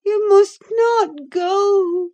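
Frantic, high-pitched young heroine. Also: female voice, drawn-out words, mellow, full character voice. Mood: frantic defiance. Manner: shrieking, frantic, imploring. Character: desperate, wilful young woman clinging to her lover.

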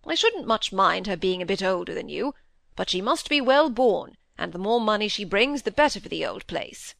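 A woman voicing a gruff, hot-tempered old country squire in an audiobook, blustering and emphatic, with rustic old-fashioned phrasing. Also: medium pitch, very animated, moderate pace, a bit bright, no echo, studio quality. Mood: angry, commanding. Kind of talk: audiobook character dialogue.

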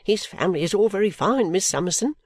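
A woman voicing a sulky, overworked young woman who complains abruptly and breaks into pitched outbursts. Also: animated, fast, a little mellow, slightly rough, no echo. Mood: exasperated.

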